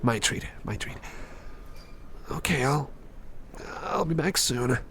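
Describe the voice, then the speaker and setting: A man playing a flustered, apologetic boss in an ASMR roleplay, stammering and repeating himself in a creaky voice. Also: medium pitch, lively intonation, slow pace, fairly bright tone, slight background noise, heavily acted. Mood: apologetic, embarrassed. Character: flustered, apologetic boss recovering from an embarrassing outburst.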